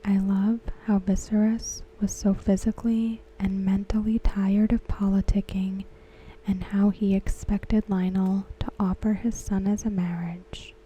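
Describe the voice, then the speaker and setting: A woman whispering softly and unhurriedly in an ASMR style, talking about a TV episode. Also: mid-range voice, flat delivery, unhurried, husky, poor audio. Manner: soft unvoiced whisper throughout. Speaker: ASMR creator discussing a TV episode.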